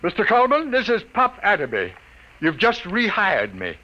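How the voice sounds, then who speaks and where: A man playing a brash, wisecracking newspaper editor in a 1950s radio drama, brisk, cocky and commanding. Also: high voice, huge pitch swings, bright, forceful tone, full character voice, low-quality recording. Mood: smug, showy. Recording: old radio broadcast recording.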